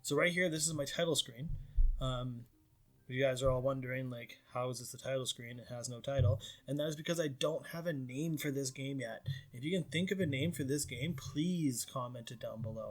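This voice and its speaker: A man from Canada walks through his indie game in a casual devlog voice, mid-pitched and unforced. He rambles and hedges with fillers and pokes gentle fun at his own work. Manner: rambling, self-deprecating, frequent fillers.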